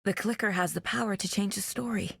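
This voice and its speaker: An actress playing a determined woman detective in a video game, speaking in a serious, focused, terse way with a soft, husky voice. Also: mid-range voice, a little fast, no room sound, clean studio sound. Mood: focused, resolute. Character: determined woman detective investigating supernatural cult murders. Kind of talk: video game character lines, investigative inner monologue.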